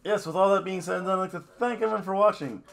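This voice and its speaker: A man in his fifties with a slightly hoarse voice, talking casually to a webcam at home and then calling wrestling video game matches in a loud announcer manner. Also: high voice, very fast. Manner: loud, excited play-by-play announcing; quiet and relaxed when talking to camera. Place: home office room with bookshelves. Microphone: webcam at desk. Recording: echoey room, distant mic, loud background noise.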